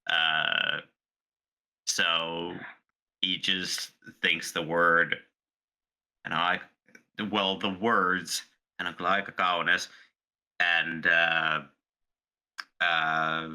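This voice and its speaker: A man playing a tabletop roleplaying game, speaking in character with hesitant pauses and many fillers. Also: low voice, little pitch movement, bright, forceful tone, clean tone, rough recording. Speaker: player.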